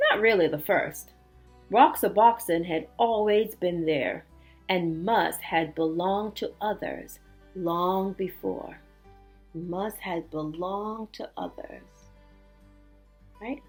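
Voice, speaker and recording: A woman teacher reading aloud and explaining words to young children in an expressive way, with simple asides and check-in questions. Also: mid-range voice, slow, slightly rough, faint music behind. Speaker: elementary school teacher. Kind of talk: read-aloud storytelling and word lesson for young children.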